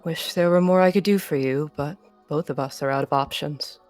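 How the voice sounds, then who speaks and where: A low, world-weary older man, played by a woman, resigned and regretful as he lays down hard truths. It is a visual novel reading, with faint music underneath. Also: normal speed, a little mellow, natural voice.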